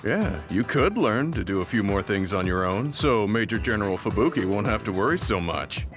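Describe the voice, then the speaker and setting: A composed, mature male soldier in an anime game. His lowish voice has plenty of movement and a wry, chiding edge. Music is clear behind him. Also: very animated, normal speed. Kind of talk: English anime game voice acting.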